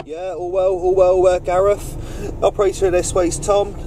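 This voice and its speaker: A man with a slightly high voice works as a CB radio net operator, giving procedural calls and courteous replies. He sounds away from the mic, with loud radio and vehicle noise all around. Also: level tone, normal speed. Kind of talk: radio call-outs and casual operator chat. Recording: noisy vehicle CB radio setup, distant mic.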